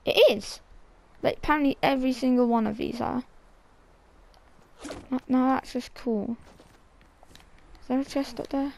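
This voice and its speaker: A woman with a croaky, gravelly voice, coughing now and then, casually commenting on her own video game play. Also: sits high, fairly even intonation, a little slow, long pauses. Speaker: gameplay streamer.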